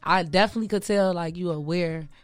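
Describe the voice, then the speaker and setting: A woman interviewing in a casual, slangy way, agreeable and quick to affirm what she hears. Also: lowish voice, clear, resonant tone, dry recording.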